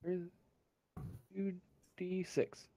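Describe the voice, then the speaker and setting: A game master improvising narration for a tabletop roleplaying game, pausing often to think. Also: mid-range voice, completely flat delivery, dark tone.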